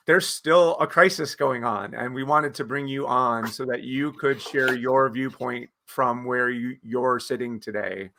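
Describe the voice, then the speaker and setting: A man's voice: mid-range voice, pushed and bright. A man hosting an interview program, introducing his guest in a rambling way with many fillers and restarts. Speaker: host. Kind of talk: conversational interview.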